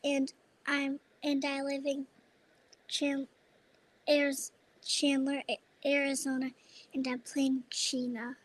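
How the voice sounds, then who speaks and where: Young-sounding register not required: female, very high pitch, flat intonation, simple introduction. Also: slow, long pauses. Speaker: actor at a table read. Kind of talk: casual self-introduction. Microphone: video call microphone.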